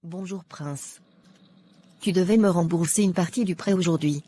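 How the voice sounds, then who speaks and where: A woman playing a sensible, frank colleague who lends money in an animated moral tale. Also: lowish voice, moderate pace, natural voice. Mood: firm.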